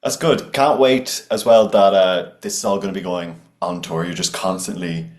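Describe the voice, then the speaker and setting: A man's voice: low-pitched, steady tone, normal speed, a little mellow. A man hosting an interview, asking questions with enthusiasm and praise. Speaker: interviewer host. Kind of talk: casual interview chat. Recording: roomy echo.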